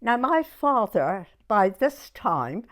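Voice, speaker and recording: Slow-talking elderly southern English woman. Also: medium pitch, big pitch swings. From Dorset, England. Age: 90s. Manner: halting storytelling, often checking the listener understands. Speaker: oral history interviewee. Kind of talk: conversational reminiscence.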